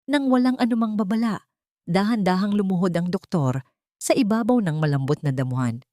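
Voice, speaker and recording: A woman with a Filipino accent narrating a dramatic scripted story in a measured, sentimental way. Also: lowish voice, a little mellow, no room sound, clean studio sound.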